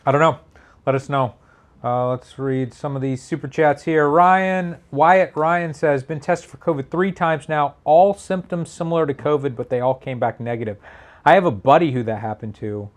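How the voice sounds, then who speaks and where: Casual man, slightly raspy. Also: on the high side, moderate pace. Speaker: show co-host reading viewer messages. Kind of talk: casual chat.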